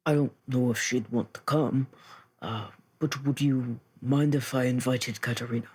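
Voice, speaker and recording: A man playing a polite, considerate ship crew member in a tabletop game, husky-voiced and deferential. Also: mid-range voice, steady tone, moderate pace, close to an everyday voice. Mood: tentative, considerate. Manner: deferential, soft-pedalling requests.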